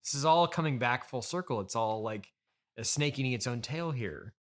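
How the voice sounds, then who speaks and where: A man's voice: mid-range voice, expressive, moderate pace. A man hosting an improvised comedy interview, stammering and placating as he guides the conversation. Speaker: podcast host and interviewer.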